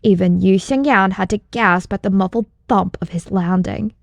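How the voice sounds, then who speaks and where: A woman's voice: mid-range voice, moderate pace, creaky, dry recording. Woman narrating an audiobook, very dry sound. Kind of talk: scripted story narration.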